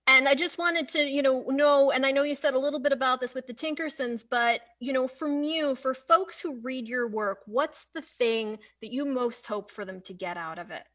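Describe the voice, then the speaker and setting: Woman, high voice, live-stream host, informal, hesitant phrasing, frequent restarts. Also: moderate pace, hardly any pauses, a bit bright. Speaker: interview host. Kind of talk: casual live-stream interview.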